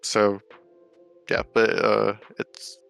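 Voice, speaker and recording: A man's voice: lowish voice, completely flat delivery, very slow, gravelly, fairly bright tone, clean tone, soft background music. A young American man, a guest on the show, chatting casually and rambling with lots of "like" and "um", Romanian spoken at home. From United States. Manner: filler-heavy, loose and rambling. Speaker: guest, professional GeoGuessr player. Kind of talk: casual chat.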